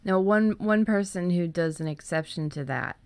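A woman's voice: lowish voice, moderate pace, darkish tone, clean tone, dry recording. A woman co-hosting a podcast, talking in a casual, conversational style. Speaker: podcast co-host.

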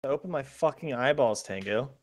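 A man in casual gaming banter as squad leader, excitable, goofy and foul-mouthed. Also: mid-range voice, moderate pace. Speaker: gamer playing squad leader.

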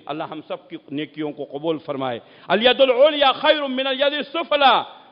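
A man preaching an Islamic sermon, exhorting listeners emphatically with rhetorical questions and repeated phrases. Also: very high voice. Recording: muffled, long echoing hall reverb.

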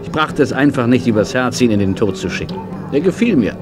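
Male actor as jovial country vet, soft-hearted, mid-pitched and expressive, standard German, children's radio drama with music. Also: brisk, lightly acted. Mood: earnest storytelling. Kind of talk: children's radio play acting.

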